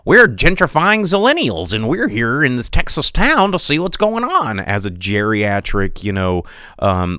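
A man's voice: fairly low pitch, clean tone, dry recording, clean studio sound. A man hosting a podcast, ranting casually about movies with lots of restarts and stumbles. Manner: rambling, animated rants with many restarts. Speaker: podcast host. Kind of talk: casual solo movie commentary.

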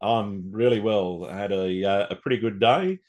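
A man with an Australian accent and a slightly husky voice, presenting as the host of an interview podcast. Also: lowish voice, normal speed, darkish tone. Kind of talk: scripted introduction and interview chat.

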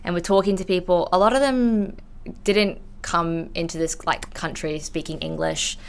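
A woman's voice: lowish voice, steady tone, normal speed, clear, resonant tone. A woman in her twenties with an Australian accent, talking candidly and informally in a conversational interview. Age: 20s. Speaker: intellectual property investigator, podcast guest.

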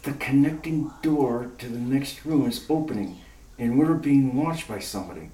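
A woman's voice: very deep voice, moderate pace, warm tone. A woman playing a wisecracking male private detective in a retro radio play, who tosses off teasing, breezy quips. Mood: hushed. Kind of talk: 1940s radio acting. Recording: roomy echo from far off the mic.

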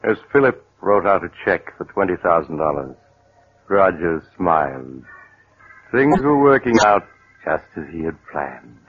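A man playing the dramatic, deliberate storyteller of a 1940s radio mystery. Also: sits low, expressive, slightly rough, heavily acted. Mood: knowing, suspenseful. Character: ominous male storyteller of a mystery thriller. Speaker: narrator. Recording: old tinny radio recording with music.

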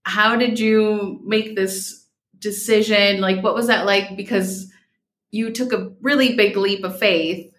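A woman's voice: medium pitch, steady tone, slow pace, a bit bright, roomy sound. A woman hosting a podcast, talking casually and prompting her guest in an eager, friendly way. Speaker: podcast host. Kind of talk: casual interview chat.

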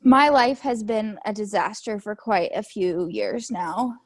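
A woman's voice: medium pitch, a little slow, no echo. A woman in her twenties co-hosting a podcast, joking and easygoing in casual chat. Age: 20s. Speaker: podcast co-host.

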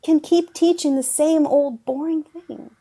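Woman as a jaded, higher-voiced magic teacher. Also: steady tone, unhurried, dark tone. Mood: exasperated. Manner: dry, weary, analytical. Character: jaded, restless female magic-school teacher seeking escape. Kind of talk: improvised comedy audio sketch.

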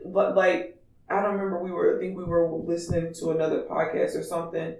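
A man with a raspy voice chatting candidly and emphatically as a podcast co-host. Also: on the high side, little pitch movement, brisk, darkish tone. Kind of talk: casual opinionated podcast chat. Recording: distant mic in echoey room.

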